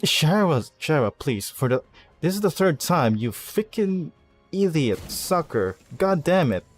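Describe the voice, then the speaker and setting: A man's voice: a little high, sing-song, a little slow, faint music behind. A man giving casual gameplay commentary, thinking aloud and muttering half-finished plans with some mild swearing. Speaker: video game streamer.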